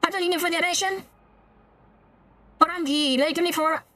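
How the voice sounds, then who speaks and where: A woman's voice: very high-pitched, brisk, bright, forceful tone, no echo. A woman with an Indonesian accent giving casual live-stream commentary as a VTuber playing a game.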